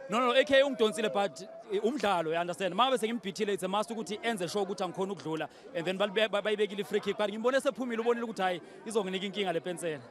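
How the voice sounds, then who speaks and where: A man giving a cheerful interview pitchside outdoors after a match, mixing Zulu and English. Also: racing, few pauses. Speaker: commentator playing in the match. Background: crowd.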